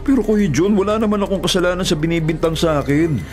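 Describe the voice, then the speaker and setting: A man's voice: high-pitched, fast talker, natural voice. A man acting a young delivery rider in a radio drama, desperate and insistently pleading his innocence. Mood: anguished. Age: young adult. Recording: music bed under the voice.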